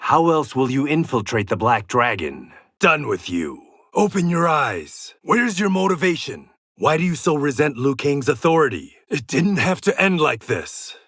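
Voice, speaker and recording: A man's voice: a little high, very animated, moderate pace, hardly any pauses, fairly bright tone, no room sound, studio quality, full character voice. An actor playing a proud warrior and former gangster in a video game, confident and terse, with a sardonic edge to his short fight taunts. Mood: stern, challenging, then forceful.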